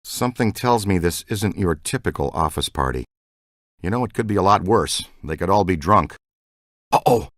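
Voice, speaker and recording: An actor playing a wisecracking ghost hunter in a video game, with a glib, joking manner. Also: male voice, low voice, lively intonation, normal speed, dry recording, clean studio sound. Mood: wry.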